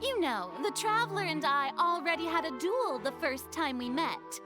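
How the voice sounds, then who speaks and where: Haughty dramatic girl ruler, really high and swoopy, showboating, anime game w/ music. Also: animated, moderate pace. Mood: grandiose challenge. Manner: pompous, dramatic, grandiose. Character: theatrical, haughty young lady ruler, showboating and taunting. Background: background music.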